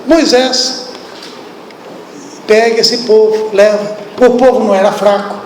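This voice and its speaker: High-voiced Brazilian preacher in an echoey hall. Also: male voice, unhurried, a little hiss or hum. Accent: Brazilian Portuguese. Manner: animated, acts out biblical speech, asks the congregation questions. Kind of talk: sermon. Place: church hall. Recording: echoey hall, distant room.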